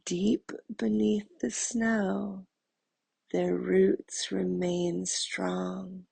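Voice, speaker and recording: A woman narrates a guided meditation in a soft, mellow voice with heavy creak at the edges. Her delivery is even and soothing, with long pauses between short phrases. The sound is husky and gentle throughout. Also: medium pitch, no room sound.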